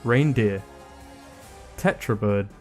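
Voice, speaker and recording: A man's voice: on the low side, slow, darkish tone. A man narrating a scripted video, reading out items one by one. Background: background music.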